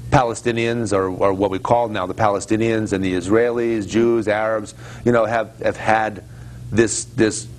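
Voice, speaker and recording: A man hosting a casual talk-show conversation, speaking in a halting, reflective way and often repeating words as he thinks. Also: lowish voice, fairly even intonation, poor audio. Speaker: host. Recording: faint background noise.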